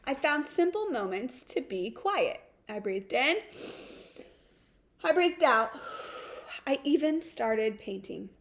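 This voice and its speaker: An earnest good egg from a children's storybook, voiced by a woman. The voice is high and the delivery slow and deliberate, with emphatic, sing-song phrasing that suits an eager do-gooder telling their own tale. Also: big pitch swings, full character voice. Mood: calm, reflective. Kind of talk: expressive first-person picture-book read-aloud.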